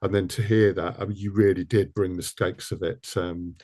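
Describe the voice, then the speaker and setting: A man interviewing as a producer, in a relaxed conversational style with hesitant, searching phrasing. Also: low-pitched, normal speed.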